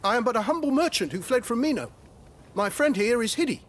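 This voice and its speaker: Game merchant, male: very high voice, swooping delivery, humble, eager to please. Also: lively intonation, a little fast. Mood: ingratiating. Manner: obsequious, eager. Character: eager, humble travelling merchant, fawning and excitable man. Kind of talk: video game cutscene acting.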